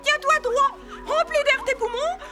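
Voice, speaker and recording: A woman's voice: extremely high pitch, measured pace, loud music behind the voice. A woman voicing a small, touchy, artistic canary in a French cartoon dub, haughty and prim like a know-it-all. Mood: prim, instructing.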